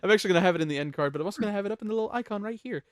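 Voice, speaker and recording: A talkative host runs a casual ranking chat with plenty of joking and swearing. The pitch swings around in an animated way, and the recording is dry and close. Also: rapid-fire, dry recording. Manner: jokey, profane, chatty. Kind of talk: casual chat ranking songs on a panel show.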